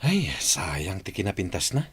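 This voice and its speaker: A man's voice: low-pitched, very animated, measured pace, fairly bright tone, slightly rough, exaggerated voice. A man playing a curious, gossiping mansion worker in an Ilocano radio comedy drama. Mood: curious, regretful.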